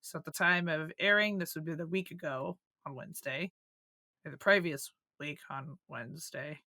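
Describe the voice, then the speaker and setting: A hoarse, croaky woman co-hosting a casual podcast, swearing and joking loosely. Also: low voice, normal speed, pushed and bright, dry recording, clean studio sound. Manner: swearing, joking, laughing often. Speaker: podcast co-host.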